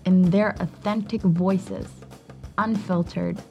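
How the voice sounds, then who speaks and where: A woman's voice: fairly low pitch, fairly even intonation, slow pace, gravelly, darkish tone, highs cut off. Woman hosting a podcast over music. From Ethiopia. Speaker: podcast host. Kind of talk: scripted podcast narration. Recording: music under the voice. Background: background music.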